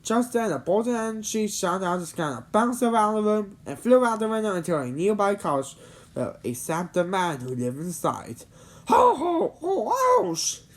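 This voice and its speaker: Animated woman reading a children's story. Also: lowish voice. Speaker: storybook reader.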